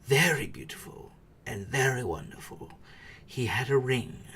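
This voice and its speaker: A man narrating a storybook dramatically, moving theatrically between hushed and emphatic delivery. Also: medium pitch, animated, unhurried, a little hiss or hum. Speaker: audiobook narrator.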